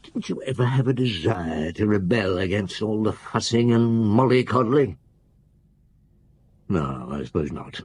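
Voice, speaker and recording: An actor playing a weary, probing middle-aged police chief inspector in a radio drama, deliberate and authoritative, with a husky voice. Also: male voice, fairly low pitch, expressive, darkish tone. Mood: probing, scornful. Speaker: lead actor and narrator in a radio detective drama.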